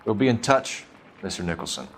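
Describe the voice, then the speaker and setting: A man's voice: fairly low pitch, big pitch swings, fairly quick, soft background music, poor audio. A man playing a stern, insistent police detective with a formal manner in a 1940s video game drama. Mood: brisk, official.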